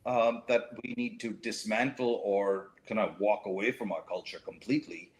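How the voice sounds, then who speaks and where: A middle-aged man raised in the Middle East, speaking as a talk show guest in a thoughtful, measured way with frequent fillers and restarts. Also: on the low side, fairly even intonation, poor audio. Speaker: guest, writer and educator. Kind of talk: reflective talk show conversation.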